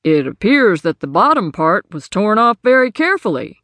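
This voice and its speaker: A woman voicing a calm, methodical male sheriff who speaks in a measured, matter-of-fact way. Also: medium pitch, no room sound, pitched above the performer's own voice.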